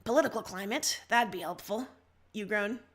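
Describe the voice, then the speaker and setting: A woman narrating a story aloud as a host, in an expressive reading style. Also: mid-range voice, moderate pace. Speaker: livestream host reading fan fiction aloud.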